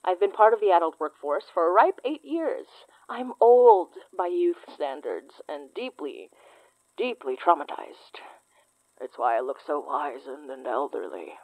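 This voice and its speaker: Low-voiced, wry young investigator. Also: female voice, big pitch swings, a little slow, dry recording, phone-quality sound, full character voice. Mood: playful mockery. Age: 20s. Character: wry, teasing, trauma-worn young woman investigator with psychic senses. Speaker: lead character in a horror audio drama. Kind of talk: naturalistic audio drama acting.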